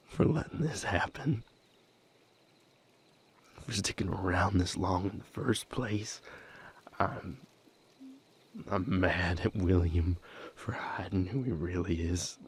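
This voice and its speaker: A man playing a bitter, wounded young man in an intimate audio roleplay, speaking haltingly in a breathy, gravelly murmur with long pauses. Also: deep voice, exaggerated voice. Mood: frustrated, scared. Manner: halting, confessional, broken phrases with long pauses. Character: bitter, wounded young man breaking from a manipulative vampire patriarch.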